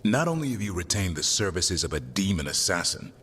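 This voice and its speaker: A man's voice: low-pitched, big pitch swings, a little fast, fairly bright tone, in a large hall, slight background noise. A man playing a blunt, sceptical warrior in a fantasy video game. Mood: sceptical, challenging.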